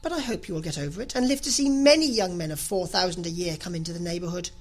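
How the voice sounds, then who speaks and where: A woman reader gives voice to a middle-aged gentleman husband in a classic novel. He is dry, teasing and unruffled, speaking with wry mock politeness in a somewhat husky tone. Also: on the low side, fast talker, close to an everyday voice. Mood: wry, mock-soothing.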